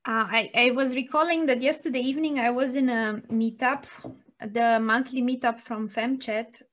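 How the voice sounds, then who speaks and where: A woman's voice: fairly high pitch, fairly even intonation, moderate pace, fairly bright tone. A woman co-hosting a podcast, speaking hesitantly with many ums and uhs. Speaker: podcast co-host. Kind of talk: conversational podcast discussion.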